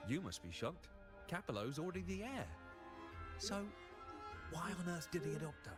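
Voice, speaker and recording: An actor playing a curious, gossipy family member in a video game, chatty and inquisitive. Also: male voice, a little high, very animated, moderate pace. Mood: nosy, puzzled. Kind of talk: scripted video game voice acting. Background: game music.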